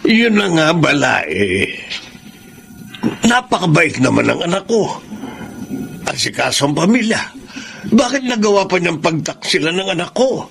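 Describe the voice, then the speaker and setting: An actor playing a protective older father in a radio drama, speaking gravely, deliberately and with emphasis. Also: a little high, sing-song, a bit bright, exaggerated voice. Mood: troubled, indignant. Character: protective, grave older father exposing his son-in-law's affair. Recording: clear background noise.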